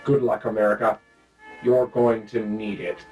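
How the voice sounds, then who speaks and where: A man's voice: lowish voice, fairly even intonation, moderate pace, darkish tone, natural voice. Dramatic male serial announcer, acted by a man, mock-serious, old-time radio, off-mic, faint music underneath. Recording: distant voice over faint music.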